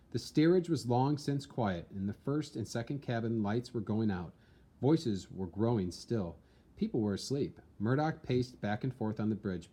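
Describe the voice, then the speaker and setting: Mellow male narrator, dramatic storytelling. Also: fairly low pitch, husky. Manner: measured, theatrical storytelling. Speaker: reader, narrator. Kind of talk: dramatic scripted narration of a historical account.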